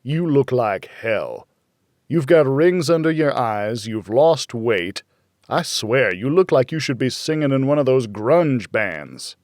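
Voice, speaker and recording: A man's voice: medium pitch, lively intonation, slow pace, a little mellow, dry recording, studio quality. Man playing blunt, worried older pal. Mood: blunt concern. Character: worried, blunt, exasperated older male friend, father.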